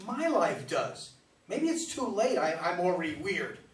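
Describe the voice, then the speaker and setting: A man's voice: high-pitched, sing-song, a little slow. A man playing an earnest, pedantic son trying to mediate between bickering parents in a staged play reading. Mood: earnest, pleading. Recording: echoey, noisy room, distant microphone.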